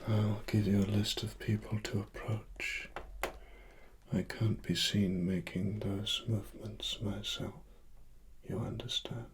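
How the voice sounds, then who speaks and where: A man with a British accent speaking softly in an ASMR roleplay as a secretive cyberpunk investigator, breathy and deliberate, often near a whisper. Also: lowish voice, little pitch movement, slight background noise. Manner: slow, deliberate, hushed. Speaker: ASMR performer.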